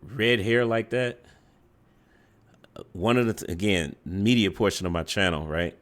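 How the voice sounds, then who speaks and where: Deep-voiced man giving halting commentary. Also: a bit bright. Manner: halting, many fillers and restarts, tags "right?"; judgmental. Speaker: commentator reacting to a dating show clip. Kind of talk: reaction commentary.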